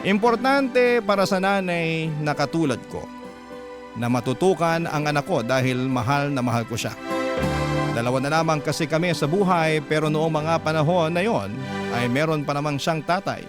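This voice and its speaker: A male radio storyteller with a Manila Tagalog accent reads listeners' letters. His voice sits somewhat high, with an earnest, confiding delivery. Music plays clearly beneath him. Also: normal speed. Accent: Filipino, Manila Tagalog. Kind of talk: dramatic narration of listeners' love letters. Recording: clear music bed behind.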